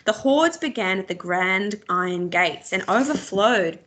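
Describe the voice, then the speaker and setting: Expressive woman reading a novel aloud. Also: mid-range voice, a little slow. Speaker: teacher reading aloud to students. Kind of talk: scripted storybook narration.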